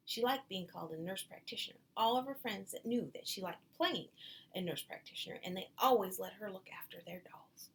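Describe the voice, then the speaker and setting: A woman's voice: medium pitch, expressive, fairly quick. A woman reading a children's storybook aloud, adding small explanatory asides, with some noise in the background.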